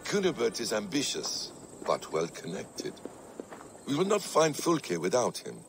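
An actor playing a cool, sly and menacing assassin in a video game, speaking in a deliberate, guarded, smooth way. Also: male voice, medium pitch, wildly swooping pitch, background noise, full character voice. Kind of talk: video game cutscene acting.

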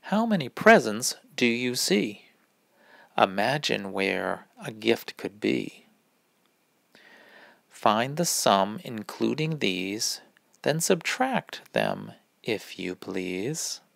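A man reading a rhyming children's picture book aloud in a deliberate, instructive manner. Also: on the low side, sing-song, vocal fry, a bit bright, no echo. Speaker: read-aloud reader.

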